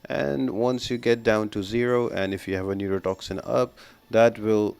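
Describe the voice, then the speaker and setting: A man's voice: fairly low pitch, fairly even intonation, normal speed, vocal fry, darkish tone, smooth. A man giving instructional gameplay commentary, explaining tactics step by step as a guide creator.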